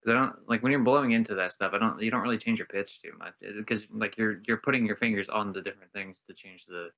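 A man's voice: fairly low pitch, fast, pushed and bright, dull audio. A young man hosting a podcast, laid-back and casual, telling anecdotes with some mild swearing. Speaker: podcast host.

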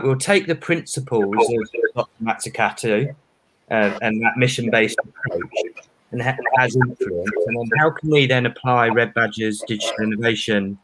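A man's voice: lowish voice, a bit bright, low-quality recording. A man in his thirties on a video call panel, earnestly explaining an idea with small restarts. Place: home room. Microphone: webcam on a video call.

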